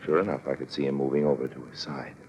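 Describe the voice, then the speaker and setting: Sly suave con artist, low voice, smug, smooth, casual, 1950s radio acting. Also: male voice, fairly even intonation, fast talker, a little mellow, dull audio. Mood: smug, confiding. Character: suave, sly male con artist narrating his swindle.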